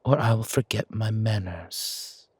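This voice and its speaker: A man's voice: lowish voice, measured pace, husky, no echo. A man voicing an imperious, scolding yet protective ancient deity in a fantasy audio roleplay, commanding and teasing. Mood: chiding, fond.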